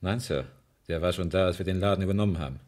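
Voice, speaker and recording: A man acts as a chatty barber in a 1970s radio play. He talks quickly in a deep voice and sounds obliging to his customer. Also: darkish tone, lightly acted.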